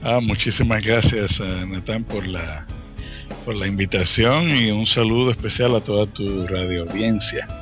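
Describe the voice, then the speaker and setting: Male interview guest, late 30s, Dominican accent, lowish pitch, halting personal delivery, light music underneath. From Dominican Republic. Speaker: interview guest, church ministry worker. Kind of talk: casual personal storytelling in an interview.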